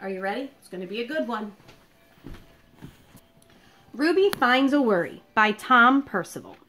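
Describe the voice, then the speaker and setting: High-pitched, expressive woman reading to children. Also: normal speed, a little hiss or hum, poor audio. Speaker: elementary school teacher reading a picture book aloud. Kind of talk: read-aloud for young children. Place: living room at home.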